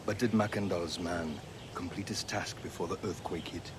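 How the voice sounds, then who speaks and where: Casting: male actor as authoritative older assassin master, fairly deep, formal, faint noise behind, for game cinematics. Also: steady tone, close to an everyday voice. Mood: sober, concerned. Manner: formal, deliberate. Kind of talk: game cutscene voice acting.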